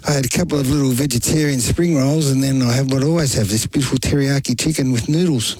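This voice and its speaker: A man's voice: mid-range voice, fast talker, darkish tone, husky, dry recording, low-quality recording. An Australian man co-hosting with casual, anecdotal radio banter, repeating phrases for emphasis. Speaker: radio co-host and sports commentator.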